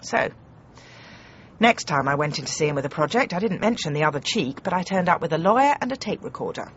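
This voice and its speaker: An actress playing a brisk, domineering TV comedy producer in a radio comedy drama, emphatic and sardonic. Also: female voice, low voice, expressive, lo-fi sound, a little hiss or hum. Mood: cynical, defiant.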